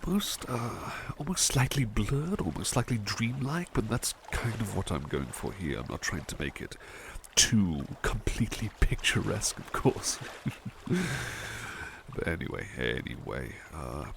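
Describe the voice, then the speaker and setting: A man playing a devoted, tender butler in an ASMR roleplay, murmuring softly and a little hesitantly to the listener. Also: lowish voice, big pitch swings, measured pace, gravelly, noisy background, full character voice. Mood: gentle, absorbed. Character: devoted, tender male butler who loves painting.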